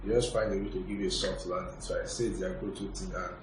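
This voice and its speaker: A man gives casual film opinions as a podcast guest. His voice is on the low side and heavily echoed, picked up away from the mic. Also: level tone, quick pace. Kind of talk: casual film review discussion. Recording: very echoey, distant mic, background noise.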